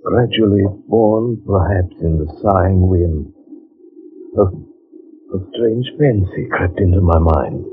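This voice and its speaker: An actor playing a troubled gentleman narrator in a dramatic 1940s radio drama style. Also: sits low, slow pace, noisy background. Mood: brooding. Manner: dramatic, hushed narration breaking into anguished pleading. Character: troubled gentleman narrator, haunted and torn by longing. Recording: dull 1940s radio recording.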